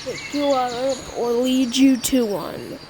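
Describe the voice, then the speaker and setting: High-voiced halting announcer, very noisy recording. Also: female voice. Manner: halting, with stumbles and repeated words. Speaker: announcer of a fictional emergency alert. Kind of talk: improvised mock emergency broadcast announcement. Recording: noisy homemade recording, birdsong behind.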